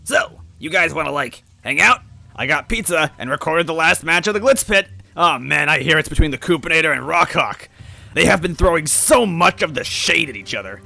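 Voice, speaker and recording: A man voicing a gruff, dopey, bearded stout man who is loud, excitable and childishly earnest about partying. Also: fairly high pitch, normal speed, faint music behind, pitched above the performer's own voice, exaggerated voice. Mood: chatty, enthusiastic.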